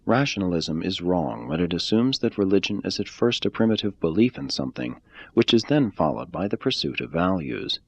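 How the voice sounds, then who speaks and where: A man reading a religious text aloud in a measured, deliberate way. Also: lowish voice, very animated, vocal fry, breathy edge, dry recording. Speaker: reader.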